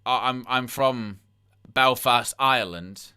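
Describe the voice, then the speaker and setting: A man's voice: medium pitch, takes it slowly, bright, forceful tone, clean tone. A man hosting a live wrestling watchalong, rambling through incredulous asides and reading chat comments aloud between plugs for likes. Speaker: wrestling livestream host.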